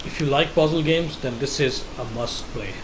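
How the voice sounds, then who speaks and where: A man narrating a game walkthrough in casual commentary, explaining step by step with halting restarts and fillers. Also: mid-range voice, noisy background.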